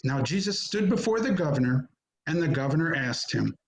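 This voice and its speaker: A man narrating a scripture drama as an amateur reader, solemn and measured, in short phrases, recorded over a video call. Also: fairly high pitch, lively intonation, poor audio.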